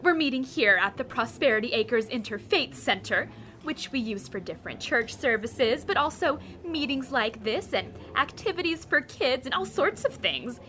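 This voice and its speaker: A woman's voice: sits high, lively intonation, normal speed, sharp tone, slight background noise. A woman playing a perky, proud suburban homeowners' association president, chatty and presentational, in an audio comedy. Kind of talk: comedic audio fiction monologue.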